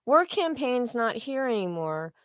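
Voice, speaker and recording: A woman's voice: fairly high pitch, sing-song, a little slow, clear, resonant tone, no room sound, clean studio sound. A woman co-hosting a livestream in casual, opinionated chat, blunt and freely swearing. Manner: blunt, profane. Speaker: co-host of a livestream talk show.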